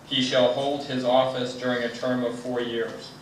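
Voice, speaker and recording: A man's mid-pitched voice reads a legal document formally to a gathering. It is heard from far off in a very echoey space. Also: flat delivery, normal speed. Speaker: invited guest reader, state attorney. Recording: echoey event, distant mic, faint noise.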